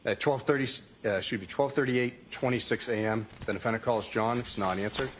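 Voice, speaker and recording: A man's voice: medium pitch, fairly even intonation, brisk, slight background noise. A man testifying as a state trooper in court, reading out records in a matter-of-fact, formulaic way. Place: courtroom witness stand.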